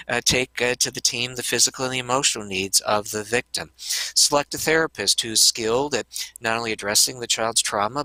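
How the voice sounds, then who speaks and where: Man with a sharp, forceful voice. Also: medium pitch, no room sound, low-quality recording. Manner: earnest, with frequent hesitant fillers. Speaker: conference speaker. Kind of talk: conference talk.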